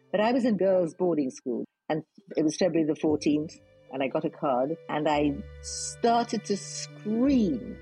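Husky, mellow older woman telling a story. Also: fairly low pitch, dry recording, low-quality recording. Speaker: discussion participant. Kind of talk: animated personal storytelling.

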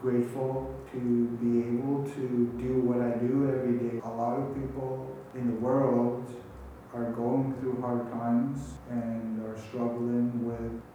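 A man from an amateur cast talking casually and reflectively in a halting, plain-spoken way. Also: medium pitch, level tone, warm tone, dull audio. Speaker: amateur radio play cast member. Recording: very echoey, distant, noisy room.

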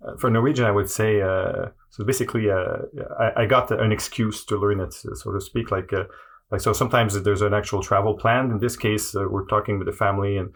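A man with a Quebec French accent speaks in a lowish voice. He answers questions hesitantly, trailing off and restarting, with a steady stream of fillers. French is his first language. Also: fairly quick. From Montreal, Quebec. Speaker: guest. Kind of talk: casual interview answers.

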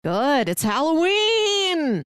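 A woman's voice: high voice, sing-song, drawn-out words, dry recording. Chatty woman cohosting a movie podcast, says like a lot, dry close sound. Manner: friendly, chatty, uses "like" often. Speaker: podcast co-host. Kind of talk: casual chat.

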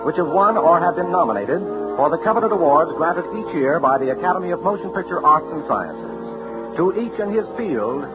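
A man's voice: on the high side, lively intonation, moderate pace. An American man announcing in formal, declamatory 1940s radio style, reading a scripted sponsor introduction. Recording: dull vintage radio recording with music. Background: music.

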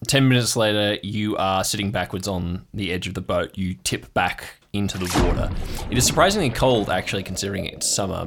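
A man's voice: lowish voice, background noise. A man improvising descriptive narration as game master of an audio drama, setting scenes in the second person. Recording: music playing behind the voice. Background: music.

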